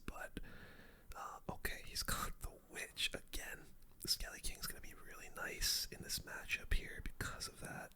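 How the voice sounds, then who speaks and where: A person whispering casual, slangy play-by-play as an ASMR gaming host, in a breathy unvoiced whisper close to the microphone. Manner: casual slangy play-by-play, muttering through moves.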